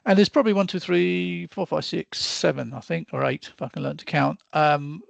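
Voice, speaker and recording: Male webinar presenter, middle-aged, gravelly voice, rambling and hesitant, dry close sound. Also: on the high side, steady tone, normal speed, no room sound. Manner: rambling, hesitant, self-deprecating. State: losing his voice.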